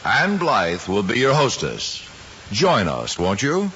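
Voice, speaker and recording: A man's voice: on the low side, wildly swooping pitch, takes it slowly, heavy background noise. A man announcing in a formal, polished 1950s radio style, reading a scripted sign-off. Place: radio studio. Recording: muffled vintage radio broadcast recording. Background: loud music.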